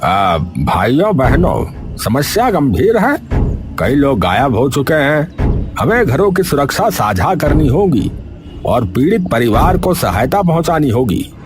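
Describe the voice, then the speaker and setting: Man voicing a slow, solemn village elder. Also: medium pitch, big pitch swings, music in the background. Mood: grave.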